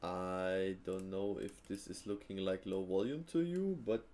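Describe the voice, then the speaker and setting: A man's voice: sits low, unhurried, dark tone. A man hosting a live trading stream, chatting casually with viewers and thinking aloud with fillers and easy laughs.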